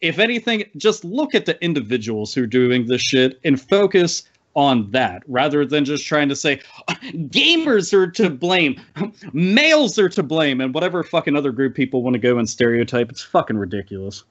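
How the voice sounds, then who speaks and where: A man's voice: medium pitch, very animated, moderate pace, fairly bright tone, highs cut off. A man on a podcast panel giving an emphatic, wordy, opinionated rant full of swearing. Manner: emphatic, wordy, piles up clauses, swears freely.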